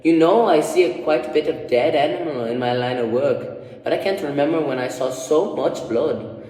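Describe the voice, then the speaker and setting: A man voicing an excitable, sentimental female servant with an attempted Scottish accent in a rambling, folksy radio-play style. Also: on the high side, moderate pace, natural voice. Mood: dramatic storytelling. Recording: echoey room, distant microphone.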